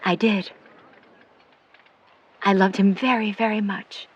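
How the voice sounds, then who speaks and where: A woman playing a protective, skeptical middle-aged mother in a 1950s New York audio drama, speaking in formal, measured, wordy sentences. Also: mid-range voice, flat delivery, husky, dull audio. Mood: wistful. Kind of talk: vintage-set audio drama acting.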